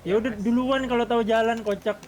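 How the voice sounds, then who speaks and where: A man's voice: very high-pitched, steady tone, fast talker, darkish tone, slight background noise. A man with an Indonesian accent chatting casually during a roleplay game. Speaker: gamer in a GTA roleplay server.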